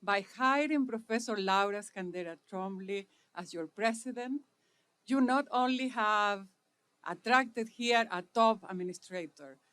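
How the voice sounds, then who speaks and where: A middle-aged woman from Chile with a Chilean Spanish accent, delivering a measured formal speech at an inauguration; Spanish is her first language. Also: mid-range voice, fairly bright tone, clear, resonant tone. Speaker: speaker at an inauguration.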